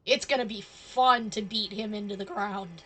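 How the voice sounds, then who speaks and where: A woman's voice: medium pitch, fairly even intonation, normal speed, a bit bright, slightly rough. A woman livestreaming a game casually, answering in a playful, cheeky and blunt way. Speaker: game streamer. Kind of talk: casual livestream commentary, playing along with dialogue.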